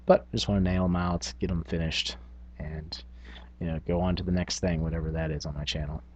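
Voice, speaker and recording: A man's voice: deep voice, fairly even intonation, a little fast, a little hiss or hum. A middle-aged man talking informally and reflectively as a channel host, hesitating and thinking aloud as he goes. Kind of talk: casual reflective vlog on tabletop gaming.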